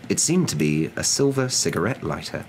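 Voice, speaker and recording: A young man narrating a story in a soft, dramatic ASMR reading style. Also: low-pitched, very animated, brisk, a little hiss or hum, rough recording. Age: young adult.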